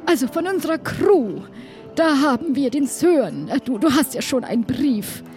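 A woman playing an excitable, flustered female pirate crew member in an improvised audio play, talking theatrically with halting exclamations. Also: high-pitched. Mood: excited, chatty. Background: background music.

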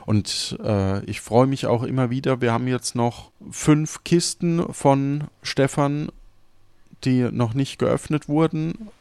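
A man's voice: medium pitch, takes it slowly, gravelly, a little mellow, clean tone, no room sound. A man hosting a podcast in casual chat, explaining things in a rambling way. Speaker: podcast host. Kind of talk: casual post-show chat.

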